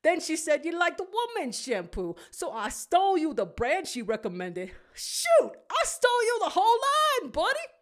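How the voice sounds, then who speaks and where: Woman putting on a cartoon walrus, old-man voice, folksy, slangy, loud, swooping upward. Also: very high voice, wildly swooping pitch, moderate pace, few pauses, voice pushed up, full character voice. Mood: eager, loyal. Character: rough old-sounding male walrus crony, eager, loyal.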